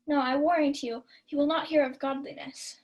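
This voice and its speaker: A woman's voice: high voice, steady tone, moderate pace, lightly acted. A young woman playing a sly prankster feigning pious worry in a radio-style Shakespeare comedy. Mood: feigned alarm. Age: young adult. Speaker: student actor. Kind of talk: 1940s radio play Shakespeare acting.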